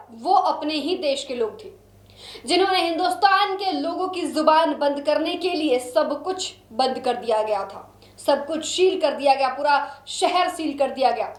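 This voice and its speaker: A woman with a high voice reads the news as a presenter. Her delivery is scripted and dramatic, with emphatic, solemn phrasing. The recording sounds roomy and echoey.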